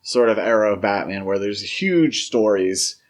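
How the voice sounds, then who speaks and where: A man's voice: on the low side, lively intonation, moderate pace. A man co-hosting a podcast, offering casual commentary with wry, analytical asides. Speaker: podcast co-host.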